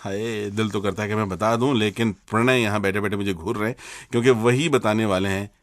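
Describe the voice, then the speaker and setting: A man's voice: lowish voice, fairly even intonation, brisk, no room sound. Man radio co-host, teasing banter, dry recording. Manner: joking, teasing.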